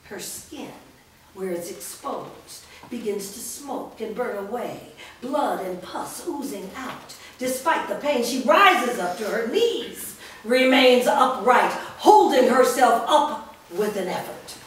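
A woman reading a screenplay's stage directions aloud in a measured, descriptive way at a staged reading, recorded in a large studio from a distance. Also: a little high, animated, slight background noise. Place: large indoor rehearsal studio. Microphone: far camera mic. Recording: echoey studio from far off.

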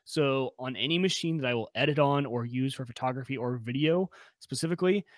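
A young man co-hosting a casual tech podcast, explaining eagerly over a video call on a boom-arm studio mic in a home workshop. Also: dry recording. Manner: quick, enthusiastic explaining. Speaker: podcast co-host. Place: home workshop room with pegboard.

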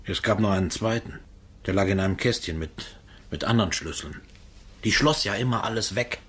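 A man's voice: fairly low pitch, fairly quick, a bit bright, breathy edge. A man playing an uneasy, shifty murder suspect in a radio crime play, hesitant and evasive. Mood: cornered, confessing. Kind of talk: German radio drama acting.

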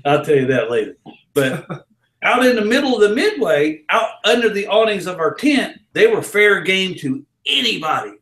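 An older man with a Southern American drawl spins yarns in a folksy, showman's way, his voice sitting a touch high for a man. He's caught by a distant camera in a small office with a roomy echo around him. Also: big pitch swings, normal speed. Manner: folksy, loud carnival-barker storyteller. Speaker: interview guest. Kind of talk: storytelling in a casual interview. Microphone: far camera.

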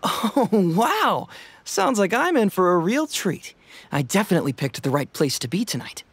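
An actor playing an easygoing young male detective in a video game, speaking in a relaxed, wry way. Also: sits high, moderate pace, breathy edge, heavily acted. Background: game music.

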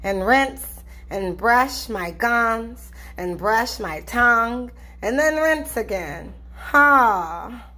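Woman voicing a slow-talking, reluctant little storybook boy. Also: a little high, heavily acted. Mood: grumbling. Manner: expressive, complaining.

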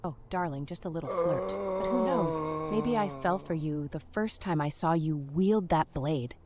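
Woman voicing a flirty low-voiced adventurer companion. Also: a little slow, heavy background noise. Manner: flirty, teasing, pet names. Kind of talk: game character dialogue. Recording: game music underneath. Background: game music.

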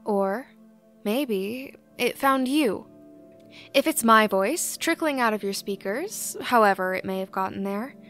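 A woman's voice: a little high, expressive, measured pace, a bit bright, faint music behind. A woman playing a folksy, cryptic small-town radio host, reading a scripted late-night promo with a teasingly ominous manner. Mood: folksy welcome. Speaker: host of a fictional community radio show.

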